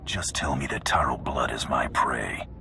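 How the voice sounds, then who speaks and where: Bounty hunter, extremely deep, gravelly, low half-whisper, cocky, game cutscene. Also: male voice, unhurried, pushed and bright, slight background noise, exaggerated voice. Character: gruff male bounty hunter, cocky and ruthless.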